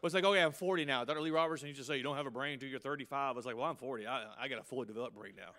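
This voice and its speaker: A man in his fifties, a pastor preaching a sermon with emphatic, punchy delivery and quick asides. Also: medium pitch, bright, forceful tone. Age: 50s.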